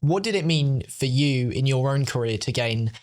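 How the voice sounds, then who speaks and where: A man's voice: medium pitch, expressive, normal speed. A man hosting an interview podcast, giving prepared introductions and questions. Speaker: podcast host.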